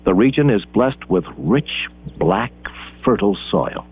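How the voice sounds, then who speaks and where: A man with an American accent narrating an educational documentary in measured, deliberate phrases with dramatic pauses. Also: on the low side, expressive, breathy edge. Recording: muffled band-limited 1980s film soundtrack. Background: background music.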